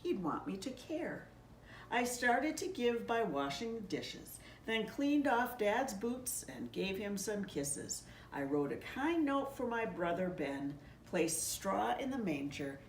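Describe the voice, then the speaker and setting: A woman pastor reading a children's story aloud in a measured, gently expressive storybook delivery. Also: medium pitch. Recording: echoey room, distant mic, faint noise.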